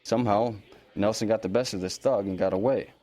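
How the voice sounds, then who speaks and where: A man acts as an easygoing small-town police officer in an audio drama. His voice sits on the low side, and he talks politely and informally, with a touch of awkwardness. The dialogue feels natural and conversational. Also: fairly even intonation, a little fast, warm tone, natural voice. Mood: informative.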